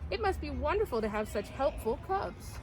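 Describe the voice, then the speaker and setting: Woman voicing a polite, high-pitched old lady bear. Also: very animated, normal speed, poor audio. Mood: grateful, hesitant. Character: kindly elderly widowed lady bear neighbour.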